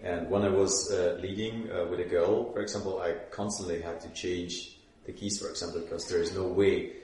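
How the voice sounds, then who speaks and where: A man with a deep, mellow voice asks his question in a halting way, stopping often to find words. He sits away from the mic, and the room rings with heavy echo. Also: fairly even intonation, slight background noise. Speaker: worship team member asking a question. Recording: distant, very echoey room.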